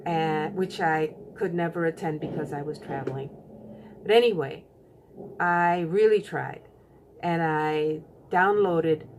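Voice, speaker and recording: Woman vlogger, lowish voice, unhurried chatty delivery with ums, faint noise behind. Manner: unhurried, chatty, many fillers. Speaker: BookTube channel host. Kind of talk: casual book tag vlog.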